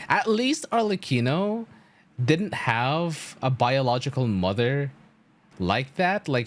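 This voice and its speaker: A man giving casual live-stream game commentary, thinking aloud in a halting, rambling way full of filler words. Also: mid-range voice.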